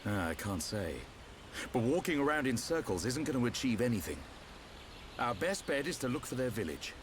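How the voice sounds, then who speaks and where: A seasoned soldier , voiced by a man, speaks with a varied, expressive pitch. He is a practical leader in a video game, with ambience behind him. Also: moderate pace, background noise, close to an everyday voice. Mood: matter-of-fact.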